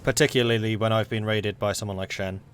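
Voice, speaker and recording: A man's voice: on the low side, fast. A young man with an English accent, casually chatting with viewers and reading game events aloud as he plays. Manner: relaxed, rambling, reads game events aloud. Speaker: streamer.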